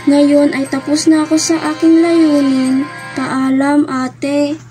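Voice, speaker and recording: A woman playing a gentle, solemn child spirit and guardian in an amateur radio drama, speaking politely. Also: high-pitched, little pitch movement, slow pace, faint music behind. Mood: solemn farewell.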